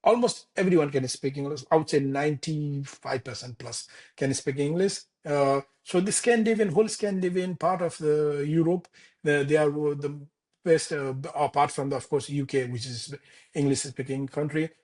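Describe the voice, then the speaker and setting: Man, Nepali accent, thirties, fairly high pitch, halting, interview guest. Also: darkish tone. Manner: halting, many restarts and fillers. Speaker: architect guest. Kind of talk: casual interview conversation. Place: Denmark.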